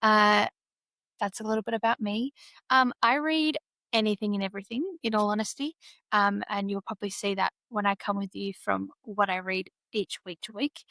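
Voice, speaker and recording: A woman's voice: mid-range voice, fairly even intonation, normal speed, pushed and bright, dry recording. A woman with an Australian accent, chatting casually on a podcast with many fillers and little giggles. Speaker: co-host. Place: travelling, on a video call from abroad.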